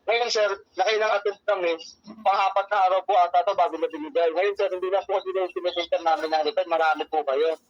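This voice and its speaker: A man's voice: sits high, fairly even intonation, quick pace, no room sound. A man with a Filipino accent speaking as a courier customer-service agent on the phone, explaining things deferentially and repetitively with frequent polite address. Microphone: telephone. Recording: muffled lo-fi phone call, dry.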